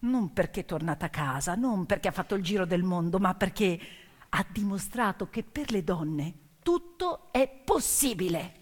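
A woman storyteller performing a theatrical narration with emphatic delivery and deliberate dramatic pauses. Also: medium pitch, big pitch swings. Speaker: stage storyteller and actress. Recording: piano music behind the voice. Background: piano accompaniment.

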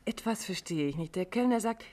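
An actress playing a courteous, inquisitive detective's wife in a 1950s German radio drama. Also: female voice, medium pitch, expressive, fairly quick, a little mellow, close to an everyday voice. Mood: curious. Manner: courteous, curious.

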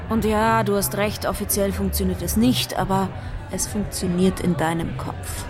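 A blunt, cynical expat crypto trader acted by a woman in a German radio drama. The delivery is coarse and opinionated, in a mid-pitched voice, with music clearly playing behind it. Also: steady tone, moderate pace, natural voice. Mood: self-assured. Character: cynical, foul-mouthed stateless ex-American crypto trader, a man named Dave.

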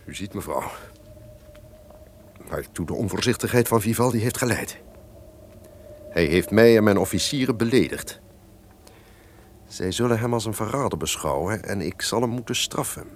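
An actor playing an imperious, menacing duke in an old-fashioned radio drama, speaking formally, theatrically and with command. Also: male voice, lowish voice, expressive, moderate pace, pauses often, slight background noise, close to an everyday voice. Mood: coldly coercive.

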